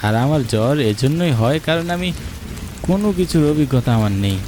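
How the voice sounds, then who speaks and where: A man's voice: a little high, lively intonation, a little fast, darkish tone, slight background noise, lightly acted. A man voicing a playful, coaxing young husband in a cartoon, in a teasing, cajoling manner. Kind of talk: cartoon voice acting. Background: music and rain.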